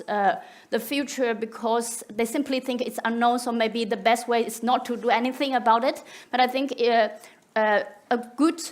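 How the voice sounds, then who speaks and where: A woman who is not a native English speaker, giving a conference talk with hesitant, searching phrasing. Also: on the high side.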